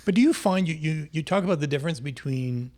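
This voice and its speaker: A man's voice: a little high, animated, fast, darkish tone. A man co-hosting a podcast, chatting casually and articulately, with a teasing edge. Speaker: podcast co-host. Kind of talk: casual chat.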